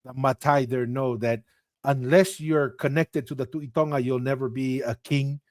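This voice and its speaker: A man co-hosting a casual chat show, animated and laughing easily, repeating phrases for emphasis. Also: medium pitch, normal speed, no room sound, clean studio sound. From Tonga. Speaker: podcast co-host.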